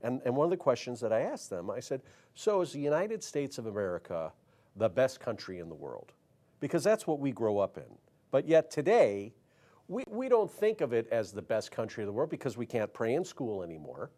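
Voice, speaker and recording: Rambling talk show host, lively pitch. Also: male voice, brisk. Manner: rambling, self-interrupting. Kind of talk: casual talk show banter.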